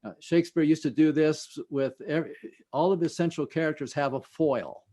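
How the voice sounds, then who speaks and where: A man speaking as an expert guest in an interview, explaining in a halting, conversational way and often trailing off at the ends of phrases. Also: a little high, mellow.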